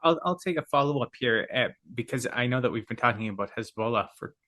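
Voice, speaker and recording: A man hosting a podcast, framing interview questions in a measured, slightly halting way. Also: medium pitch, animated, sharp tone. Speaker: podcast host.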